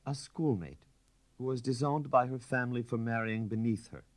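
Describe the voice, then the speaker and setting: A man's voice: medium pitch, moderate pace, voice pushed up, close to an everyday voice. A man putting on a lifted-voice, poised countess. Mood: thoughtful, explaining. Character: poised aristocratic countess, a wife, candid and assured.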